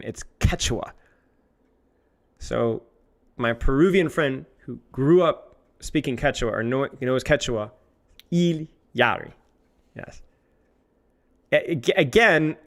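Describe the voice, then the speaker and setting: Animated high-voiced male streamer. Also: gravelly. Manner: exasperated, self-mocking, rambling. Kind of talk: casual live-stream commentary.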